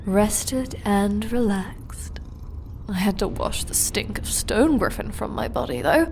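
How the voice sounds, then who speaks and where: A woman voicing a powerful dark elf witch in a fantasy audiobook, silky, deliberate and deferential. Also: medium pitch, animated, whispered. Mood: sly, dutiful.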